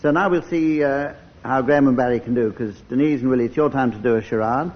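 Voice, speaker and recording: A man hosting a radio comedy panel game in an unhurried style, in a studio with a live audience. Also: medium pitch. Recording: muffled old radio recording, faint noise.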